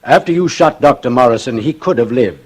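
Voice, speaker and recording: An actor playing a grave, earnest lawyer in a measured, solemn 1940s radio drama style. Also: male voice, medium pitch, animated, breathy edge. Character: grave, earnest defense lawyer breaking hard news. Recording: vintage radio broadcast.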